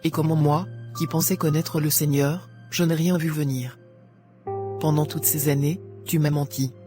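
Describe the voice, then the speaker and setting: A woman's voice: deep voice, fairly even intonation, normal speed, close to an everyday voice. A woman playing a devout middle-aged wife and mother, betrayed and indignant, in an emotional cartoon drama. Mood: shocked, reproachful. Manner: emotional, reproachful. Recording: music under the voice.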